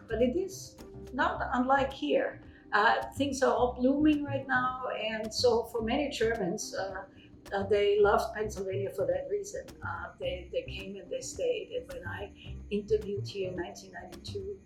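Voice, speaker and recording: Woman from lower austria chatting, stumbles a bit, far off mic in echoey room. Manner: chatty, hesitant restarts. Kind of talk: conference interview answers. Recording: echoey room, distant mic, faint music.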